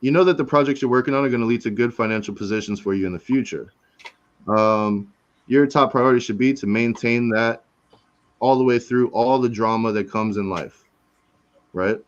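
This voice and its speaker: Man in his forties, casual host, rambling and laid-back, dull lo-fi sound, mid pitch. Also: moderate pace. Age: 40s. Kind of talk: casual chat.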